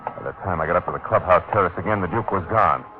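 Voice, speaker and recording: Guy acting a tough wry american gumshoe, low fast talking, clipped, tinny old radio. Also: slight background noise, lightly acted. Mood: wry, tense. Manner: clipped, commanding, slangy. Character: tough wry male detective narrating his case. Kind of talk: 1940s-50s radio noir acting, hardboiled narration.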